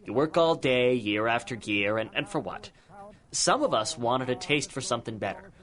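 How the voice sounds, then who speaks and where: A man's voice: mid-range voice, expressive, normal speed, fairly bright tone, lightly acted. An actor playing a cynical, corrupt older policeman in a 1930s radio crime drama, taunting in folksy tough-guy slang. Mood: smug, taunting. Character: cynical corrupt older cop, menacing and mocking.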